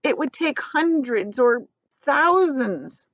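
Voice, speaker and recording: An old grasshopper from a storybook, voiced by a woman, sensible and questioning. The delivery is measured yet animated in pitch, with a close, dry sound. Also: no room sound, dull audio, full character voice. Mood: doubtful, reasoning. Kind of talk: children's storybook character reading.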